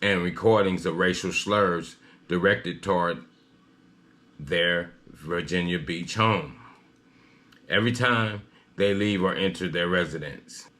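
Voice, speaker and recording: A man hosting a news commentary, reading and discussing a story with a somewhat halting delivery. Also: deep voice, pushed and bright.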